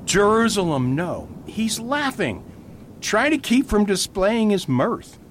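Man playing an animated, lightish-voiced priest detective. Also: slow, heavily acted. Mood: thoughtful, amused. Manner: animated, patiently explanatory. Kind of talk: radio mystery acting. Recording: faint rumbling background noise.

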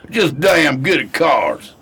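A man's voice: on the high side, big pitch swings, slow pace, creaky, fairly bright tone, slightly rough, heavily acted. A man playing a brash, boastful poker player in a 1920s period radio drama. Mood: brash, gloating.

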